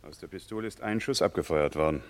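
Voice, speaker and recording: Low, clipped police assistant played by a man. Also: fast talker, lightly acted. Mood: businesslike, puzzled. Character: observant, deferential male police assistant at work. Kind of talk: German radio crime play acting.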